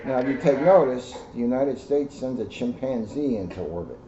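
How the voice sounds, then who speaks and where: A man's voice: mid-range voice, lively intonation, moderate pace, a crowd in the background. A man, a police officer, reading a children's storybook aloud with casual asides. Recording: dull lo-fi sound, faint background noise.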